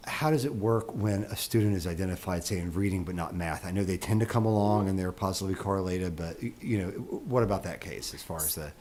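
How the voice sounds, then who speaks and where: Deep-voiced man, halting questions. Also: a little mellow, a little hiss or hum. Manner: halting, with many restarts and fillers. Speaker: school board member. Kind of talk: questions at a board meeting.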